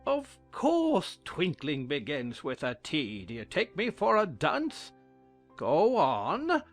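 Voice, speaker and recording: A man's voice: fairly high pitch, wildly swooping pitch, slow pace, faint music behind, heavily acted. A man playing a pompous, testy, dim-witted king who commands a court in an audio drama.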